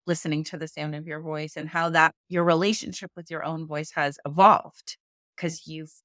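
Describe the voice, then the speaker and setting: A woman's voice: sits low, steady tone, normal speed, fairly bright tone, no room sound, clean studio sound. A woman who co-hosts a book-discussion podcast and teaches speaking classes, talking earnestly and stressing her points. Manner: earnest and emphatic, repeats key points.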